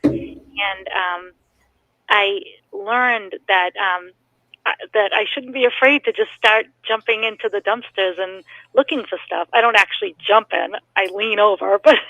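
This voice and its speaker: A woman's voice: mid-range voice, unhurried, sharp tone, no room sound, lo-fi sound. A woman from Massachusetts with a Boston accent, calling in to a live podcast and talking hesitantly with lots of ums and laughs. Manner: hesitant, many ums, giggly. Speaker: caller. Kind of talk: casual call-in chat.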